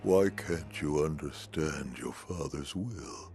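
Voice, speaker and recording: An actor playing a stern, scheming old shinobi father in a video game, with a gravelly, theatrical, imperious delivery. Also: very deep voice, slow pace, darkish tone, faint music behind, heavily acted. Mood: scornful, incredulous. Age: older. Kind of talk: dramatic video game voice acting.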